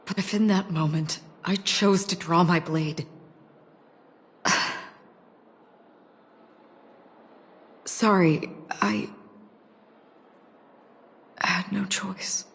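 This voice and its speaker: An actress playing a somber, guilt-burdened swordswoman in a video game, a grave mentor who speaks in a measured, solemn way. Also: on the low side, steady tone, creaky. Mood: remorseful.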